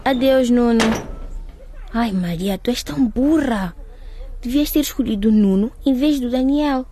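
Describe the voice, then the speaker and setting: A woman's voice: fairly high pitch, unhurried, background noise, lightly acted. A young woman playing a teenage girl who is uneasy and hesitant in a radio drama.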